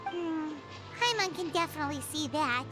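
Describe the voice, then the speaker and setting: A woman's voice: sits high, animated, normal speed, loud music behind the voice. A woman voicing a tiny, bubbly floating fairy companion in a video game, curious and chatty. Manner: curious, bubbly, refers to herself by name.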